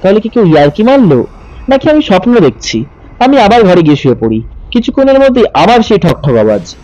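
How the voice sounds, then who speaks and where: A man narrating a horror story in the first person, suspenseful, with dramatic pauses and repetition. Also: high voice, sing-song, dark tone, dry recording, muffled recording.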